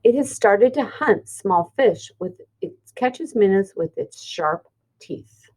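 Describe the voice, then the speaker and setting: Woman reading aloud with lively, swinging pitch. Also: slow pace, low-quality recording. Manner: explanatory, pointing things out simply. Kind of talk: read-aloud of a children's science book.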